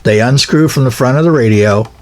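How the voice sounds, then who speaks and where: A man with a medium-pitched voice gives informal, practical instruction. He speaks in a folksy, unscripted way, wandering through his points. His delivery halts often as he backs up and rephrases. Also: background noise. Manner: rambling, folksy, halting with self-corrections. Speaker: pilot car operator giving equipment advice.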